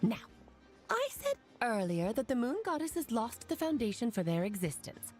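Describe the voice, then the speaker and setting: Wry, animated scholar woman. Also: fairly high pitch, moderate pace. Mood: wry, evasive. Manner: lecturing, wry, theatrical. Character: pedantic, sly female scholar explaining divine lore. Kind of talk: video game voice acting. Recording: music behind the voice.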